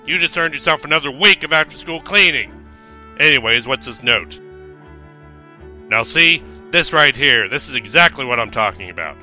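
A man's voice: medium pitch, expressive, moderate pace, sharp tone, soft background music. A man voicing a jaded, crabby pony schoolteacher, sardonic and scolding, in a parody cartoon dub.

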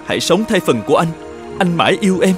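A man's voice: high voice, big pitch swings, quick pace, soft background music. An actor playing an earnest, self-sacrificing young man in love in a cartoon folk tale, speaking with urgency. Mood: tender, pleading.

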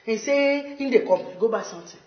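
Woman acting brusque, high-voiced Nigerian cleaner. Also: animated, fairly quick, lightly acted. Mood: irritated. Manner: brusque, exclaiming. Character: brusque, excitable female clinic cleaner. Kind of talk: Nigerian Pidgin TV comedy-drama dialogue. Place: tiled clinic reception hall. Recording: muffled, echoey room.

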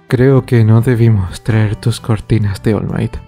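A man playing a composed, polite teenage boy in an anime fandub, soft and understated. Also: on the low side, fairly even intonation, unhurried, faint music behind.

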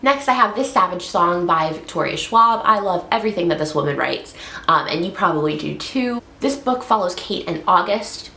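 A woman's voice: on the low side, vocal fry, fairly bright tone. A young woman in her twenties with a head cold, chatting upbeat about books on her vlog. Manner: chatty, upbeat, frequent fillers. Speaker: book vlogger. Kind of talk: casual book review vlog. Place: bedroom, indoors. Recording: echoey room.

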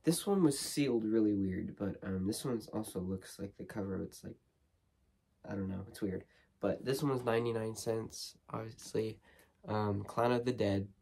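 A teenage boy with a cold, hoarse, chatting casually with many ums and restarts while unboxing movies in his bedroom. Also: fairly low pitch, normal speed, a little mellow. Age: teens. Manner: rambling, lots of ums and restarts. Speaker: horror movie vlogger. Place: small bedroom studio with shelves.

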